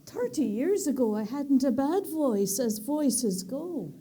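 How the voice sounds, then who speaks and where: A woman's voice: high-pitched, sing-song, takes it slowly, mellow, heavily acted. A woman playing a modest elderly aunt who was once a concert singer. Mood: wistful. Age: older.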